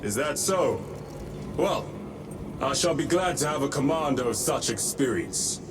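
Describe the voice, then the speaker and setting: A man's voice: on the high side, lively intonation, a little slow, music in the background. A man playing a composed, battle-seasoned space soldier in a video game, with a formal, dry, assured delivery. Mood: cool. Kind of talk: dramatic video game acting.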